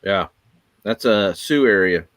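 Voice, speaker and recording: A man's voice: on the low side, slow pace, fairly bright tone, no room sound. A man in his forties from Central Florida, joking in a folksy way on a group video call. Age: 40s. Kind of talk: casual group video chat.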